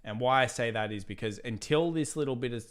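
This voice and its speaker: A man's voice: mid-range voice, quick pace, smooth. A man with an Australian accent hosting a live market analysis stream, talking casually, reading out viewer questions, and wearing out his voice after hours of talking. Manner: casual, rambling, reads viewer questions aloud. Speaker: trading channel host.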